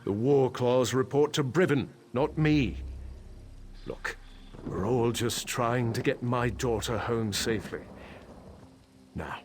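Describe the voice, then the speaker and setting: An actor playing a commanding middle-aged nobleman and worried father in a video game, authoritative and measured. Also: medium pitch, slight background noise, lightly acted. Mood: insistent.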